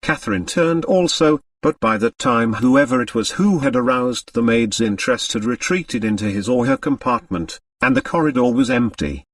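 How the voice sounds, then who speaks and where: A man's voice: mid-range voice, lively intonation, normal speed, few pauses, dry recording, distant mic. A man narrating a novel as an audiobook, reading from a script.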